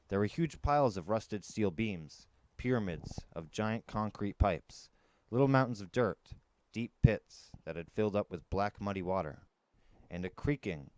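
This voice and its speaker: A man's voice: fairly low pitch, smooth, dry recording. A man reading a first-person story aloud as a dramatic narrator, on an amateur recording. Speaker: audiobook narrator.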